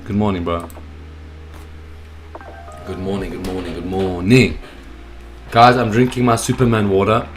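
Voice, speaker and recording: A young man streaming from his home office, his voice hoarse and froggy from a cold. He sits low in pitch and talks in a hyped, casual way, calling out to viewers in the chat. Soft music plays faintly behind him. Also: flat delivery, unhurried, long pauses. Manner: hyped, greets the chat by name. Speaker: streaming host.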